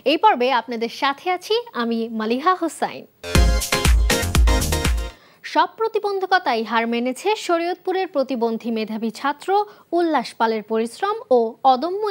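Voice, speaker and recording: A Bangladeshi woman hosting a television programme, reading a scripted introduction in a presenter's style. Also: high voice. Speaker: TV show host.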